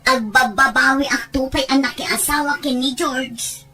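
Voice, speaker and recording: Woman acting a plaintive, very high-voiced young wife. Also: steady tone, moderate pace, over music. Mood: regretful, bored. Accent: Filipino. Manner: plaintive, complaining. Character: unhappy, homesick young wife living abroad. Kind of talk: Ilocano audio drama acting.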